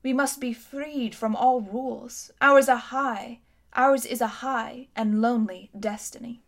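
A woman voicing a cold, imperious tyrant queen in a storybook reading, speaking loudly and grandly. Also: fairly high pitch, steady tone, a little slow. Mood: haughty. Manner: haughty, commanding, grand.

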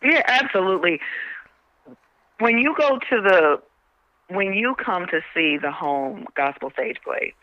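A woman speaking as a radio interview guest, emphatic and a little halting, often restarting her phrases. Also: medium pitch, lively intonation, no echo. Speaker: stage play cast member and spokesperson. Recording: dull band-limited radio call sound.

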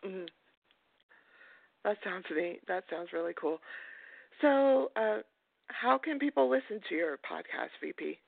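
A man's voice: high voice, expressive, measured pace, vocal fry, long pauses, no echo. Phone-sounding man hosting a casual podcast interview. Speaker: podcast host interviewing a guest. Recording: muffled phone-like line, dry.

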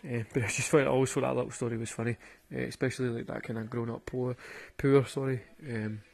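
Gravelly Glaswegian man telling stories. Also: medium pitch, flat delivery, fast talker, a little mellow. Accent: Glaswegian Scottish. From Glasgow, Scotland. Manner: rambling, sweary, laughs at his own stories. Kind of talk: casual storytelling monologue.

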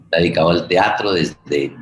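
Colombian man, middle-aged, deep voice, halting host introduction, repeated phrases, echoey room sound. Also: slight background noise. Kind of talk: informal spoken introduction on a live forum. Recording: roomy echo on the voice.

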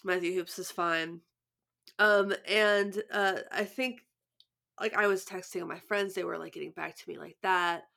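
A woman's voice: lowish voice, steady tone, measured pace, vocal fry, bright, forceful tone. A young adult woman co-hosting a podcast, chatting casually and rambling through stories with many restarts, sounding stuffed up with sniffles. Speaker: podcast co-host.

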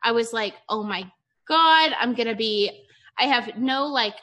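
A woman from Ohio chatting on her podcast in a high, very bright and forceful voice. She is candid, laughs easily and drops quick asides. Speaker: podcast host. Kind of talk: casual chat.

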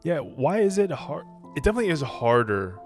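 A man from Canada whose first language is Chinese, co-hosting a casual podcast chat, laid-back and animated when joking. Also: a little high, fairly quick, faint music behind. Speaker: podcast co-host.

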